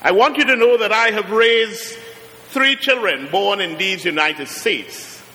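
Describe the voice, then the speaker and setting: A middle-aged man from Trinidad with a Trinidadian accent, preaching a sermon as a guest preacher, slowing down and rising to emphatic peaks. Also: high-pitched, lively intonation, bright, forceful tone. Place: large church. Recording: long church reverb.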